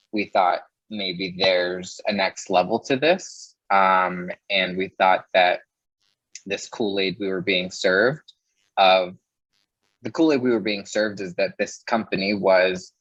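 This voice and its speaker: A man with a low voice tells stories as an interview guest. He is casual and chatty, dropping "like" into his sentences. The sound is dry and a little dull and muffled. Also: fairly bright tone, dry recording. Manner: chatty, peppers speech with "like". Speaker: interview guest, mortgage loan originator. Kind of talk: casual conversational storytelling.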